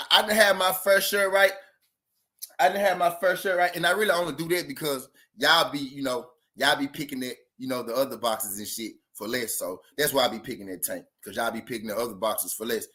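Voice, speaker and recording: A man's voice: medium pitch, sharp tone. A man hosting a boxing channel live stream, talking loosely and profanely and repeating himself. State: says he is high. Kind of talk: casual live-stream talk.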